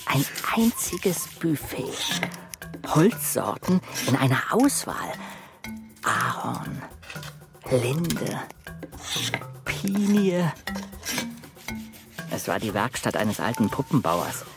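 An actor narrating a children's radio play as a wry, childlike woodworm, telling the tale expressively. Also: male voice, medium pitch, music in the background, heavily acted. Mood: dreamy, savoring. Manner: unhurried, expressive storytelling.

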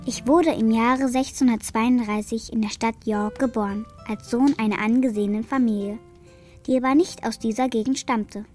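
A woman's voice: fairly high pitch, fairly even intonation, normal speed, darkish tone, faint music behind, lightly acted. A young woman playing a plucky, plain-spoken girl of the steppe in a German children's radio play, matter-of-fact in manner. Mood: reading aloud.

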